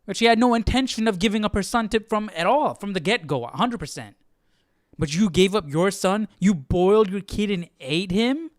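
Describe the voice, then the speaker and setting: A man's voice: high voice, expressive, moderate pace, no room sound, studio quality. A man hosting a live Bible study, reacting casually and incredulously, often repeating himself.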